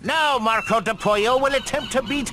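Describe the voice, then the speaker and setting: An actor playing a brassy diving contest judge and announcer in a video game, emphatic in delivery. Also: male voice, very high-pitched, normal speed, sharp tone, soft background music. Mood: brassy, official.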